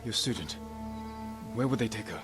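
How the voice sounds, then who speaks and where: An actor playing a determined, earnest samurai warrior in a video game, with a solemn, terse and resolute delivery. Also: male voice, fairly low pitch, expressive, moderate pace, loud music behind the voice, lightly acted. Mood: questioning. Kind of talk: dramatic video game acting.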